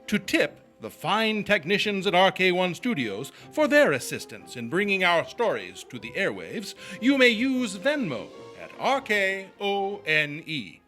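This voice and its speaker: Bright, high-pitched theatrical male announcer. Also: huge pitch swings, a little slow, hardly any pauses, soft background music. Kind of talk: scripted live show announcement, theatrical.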